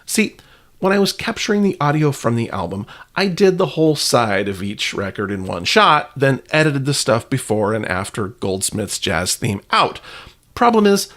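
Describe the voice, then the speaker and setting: A man's voice: mid-range voice, big pitch swings, moderate pace, fairly bright tone. A man hosting a podcast with casual, partly scripted commentary in a playful, self-deprecating manner. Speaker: podcast host.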